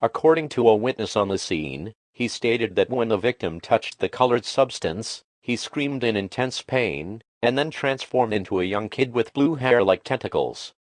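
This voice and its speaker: A man delivering a formal, official emergency broadcast in a deliberate, impersonal manner. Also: mid-range voice, expressive, no echo, natural voice. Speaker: emergency alert announcer.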